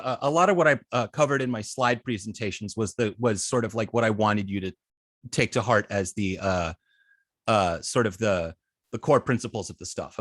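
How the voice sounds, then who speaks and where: Halting male instructor, dry close sound. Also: mid-range voice, fairly bright tone, no echo. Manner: halting, hesitant, many long filled pauses and false starts. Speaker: web design instructor. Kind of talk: informal class Q&A, explaining.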